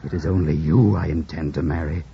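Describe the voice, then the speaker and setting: A man playing a brooding, commanding, passionate gentleman in an old-time radio drama, with theatrical, imperious delivery and a husky voice. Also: rumbling low, steady tone, brisk, a little hiss or hum. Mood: forceful. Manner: theatrical, imperious, swinging from hushed to forceful. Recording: dull, lo-fi old radio recording.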